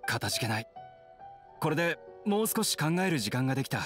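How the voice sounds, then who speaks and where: A man's voice: mid-range voice, very animated, fast, a bit bright. An actor playing a troubled, polite young man on the run in a game, earnest, subdued and apologetic. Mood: grateful. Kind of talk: video game voice acting. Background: background music.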